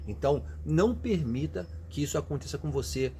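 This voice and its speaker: A man's voice: a little high, animated, brisk, warm tone, slight background noise. A Brazilian man giving emphatic, motivational advice directly to his viewers in a casual way. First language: Portuguese. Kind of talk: motivational casual talk to camera.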